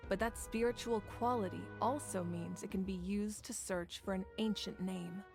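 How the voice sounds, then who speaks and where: Woman voicing a commanding fire queen, composed, measured and earnest, mid-pitched voice, faint game music. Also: steady tone, gravelly, lightly acted. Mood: steady. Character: calm, commanding woman ruler of a fire nation.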